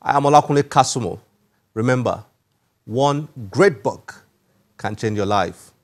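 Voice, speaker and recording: A man's voice: medium pitch, a little slow, slight background noise. A man speaking as a programme host in a scripted presenting style.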